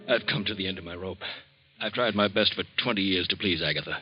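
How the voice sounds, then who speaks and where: An actor playing a meek, henpecked failed-artist husband in 1940s radio acting, hesitant and subdued. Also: male voice, sits low, quick pace, music in the background, lightly acted. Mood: despairing. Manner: hesitant and subdued, turning bitter. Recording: dull old radio broadcast recording.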